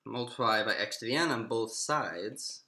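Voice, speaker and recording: A man's voice: mid-range voice, moderate pace, sharp tone. A man giving an informal math lecture, explaining steps aloud and posing questions to himself as he works. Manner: explanatory, thinking aloud with self-posed questions. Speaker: math instructor. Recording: echoey, roomy sound.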